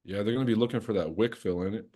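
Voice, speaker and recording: A man's voice: fairly low pitch, rapid-fire, dark tone. A young man hosting a trading livestream, chatting loosely with his chat, joking and swearing freely.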